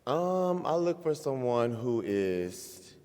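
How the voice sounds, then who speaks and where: A man's voice: medium pitch, very animated, slow pace, darkish tone. A man in his late twenties, a dating show contestant, friendly and thoughtful with hesitant ums. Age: 20s. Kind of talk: casual chat. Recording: echoey hall.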